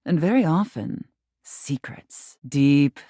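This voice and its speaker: A woman voicing a haughty, contemptuous mistress in a video game, imperious and condescending, with drawn-out, teasing menace. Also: sits low, expressive, warm tone, no echo, clean studio sound, heavily acted. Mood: teasing, seductive. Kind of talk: theatrical scripted game dialogue.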